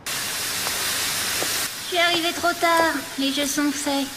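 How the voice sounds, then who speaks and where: A woman's voice: sits high, fairly even intonation, very slow, background noise. A woman voicing a tiny teenage martial artist girl who talks with an old-fashioned, commanding manner, in a French anime dub. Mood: calm. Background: anime music score.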